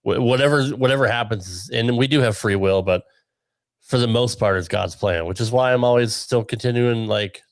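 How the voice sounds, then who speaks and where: A middle-aged man with a deep radio voice, talking casually on a podcast in a relaxed, chatty way with frequent ums and restarts. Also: normal speed, no room sound. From Connecticut, USA. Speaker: podcast producer and guest.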